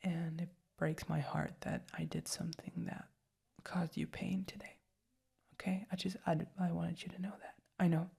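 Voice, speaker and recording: A young woman speaking tenderly in a soft whisper, as in an ASMR roleplay. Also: fairly low pitch, flat delivery, moderate pace, creaky, breathy edge. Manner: tender, affectionate. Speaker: roleplay partner.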